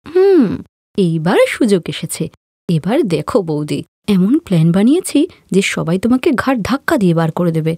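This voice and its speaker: A woman's voice: fairly low pitch, very animated, a little fast, a little mellow, breathy edge, dry recording, heavily acted. A woman voicing an arrogant, scheming young sister-in-law in a cartoon story, with an accusing, melodramatic, taunting manner. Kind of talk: Bengali cartoon story voice acting.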